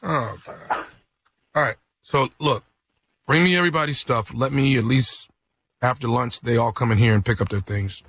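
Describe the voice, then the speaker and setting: A man's voice: mid-range voice, normal speed, gravelly, a bit bright, breathy edge, no echo. A man playing an apologetic office manager who has to break bad news, hesitant and stammering. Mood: flustered. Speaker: comedian doing prank phone calls.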